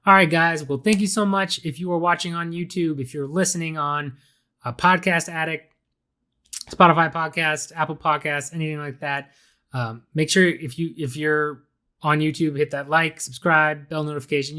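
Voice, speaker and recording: Raspy-voiced man hosting a podcast. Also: fairly high pitch, normal speed, bright, forceful tone. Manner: casual, chatty, frequent fillers and restarts. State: slightly raspy from illness. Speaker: podcast host. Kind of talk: solo podcast commentary.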